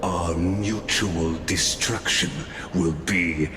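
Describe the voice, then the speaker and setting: Deep-voiced, courteous robot watcher. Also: male voice, fairly even intonation, noisy background. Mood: calm, calculating. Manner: formal, measured, unfailingly polite. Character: courteous, calculating male robot watcher, long-lived gatekeeper. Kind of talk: scripted video game voice acting. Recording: echoing voice over clear background music.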